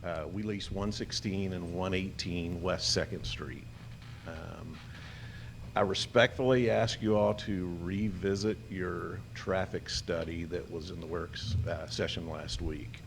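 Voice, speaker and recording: A man and local business owner making a public comment at a city meeting, earnest and polite with many pauses. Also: steady tone, background noise. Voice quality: gravelly, low voice with hesitant fillers. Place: city commission chamber. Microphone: podium microphone.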